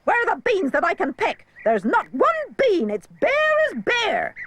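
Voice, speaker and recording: A man voicing a shrill, scolding peasant mother in a storybook. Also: huge pitch swings, measured pace, a bit bright, heavily acted. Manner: shrieking, nagging.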